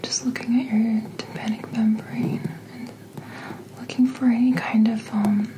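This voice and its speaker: A woman speaking in a soft, breathy whisper, gently and reassuringly playing a doctor in an ASMR roleplay, close to the microphone. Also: fairly high pitch, little pitch movement, a little slow, poor audio. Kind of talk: soft-spoken ASMR medical checkup roleplay.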